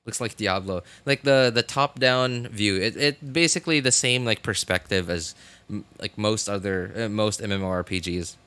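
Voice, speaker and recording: A man in his thirties chatting casually on a livestream, rambling and haltingly thinking aloud. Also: on the low side, fairly bright tone, clear, resonant tone, no echo.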